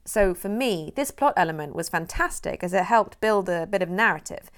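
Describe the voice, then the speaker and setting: A woman film director who grew up in Europe, calmly explaining how she made her film in an interview. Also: on the low side, moderate pace. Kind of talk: interview answers.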